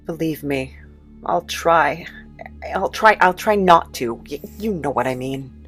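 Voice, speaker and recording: Woman as a low-voiced, hesitant young apprentice. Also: measured pace, breathy edge, soft background music, full character voice. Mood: sheepish. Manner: hesitant, awkward, deadpan. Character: meek, awkward young male apprentice. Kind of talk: audio drama character acting.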